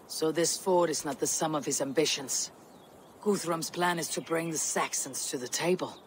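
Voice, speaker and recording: A woman voicing a stoic, resolute Viking warrior in a game, low and husky, with measured, solemn speech. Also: a little hiss or hum, close to an everyday voice. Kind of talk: video game cutscene acting.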